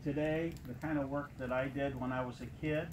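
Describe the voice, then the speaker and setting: A man in his late fifties giving an informal personal testimonial to an audience in a halting, plain-spoken way with frequent pauses. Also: medium pitch, steady tone. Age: late 50s. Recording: noisy live room recording.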